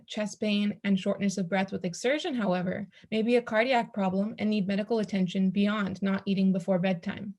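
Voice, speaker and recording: A woman's voice: medium pitch, a little mellow. A woman delivering scripted explanatory advice to patients as a panelist on a health education program.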